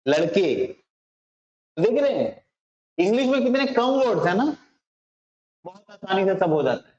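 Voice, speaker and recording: A man with an Indian accent teaching English informally, repeating phrases slowly and often checking that learners understand. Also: high-pitched. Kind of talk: informal spoken lesson. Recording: roomy echo, slightly dull sound.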